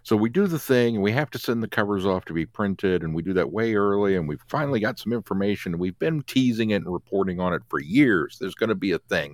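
A man co-hosting a podcast, retelling things in an animated, comic way and repeating phrases for effect. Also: lowish voice, dry recording, studio quality. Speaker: podcast co-host. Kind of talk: comic retelling in casual chat.

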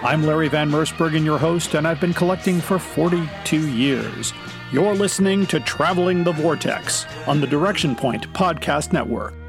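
A man's voice: a little high, normal speed. A middle-aged or older man, a podcast host, reading a scripted promo for his show. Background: guitar music.

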